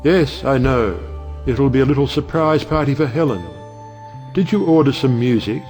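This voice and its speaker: A man playing a genial, scheming older judge in an audio play, speaking in smooth, orotund speeches. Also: mid-range voice, normal speed, a little mellow, faint music behind, lightly acted. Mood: brisk, scheming.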